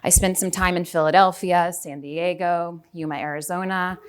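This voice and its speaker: A woman's voice: on the low side, fairly even intonation, normal speed, smooth. A woman in her forties from Houston, giving a chatty conference talk and asking the audience questions. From Houston, Texas. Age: 40s. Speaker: conference speaker. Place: conference hall.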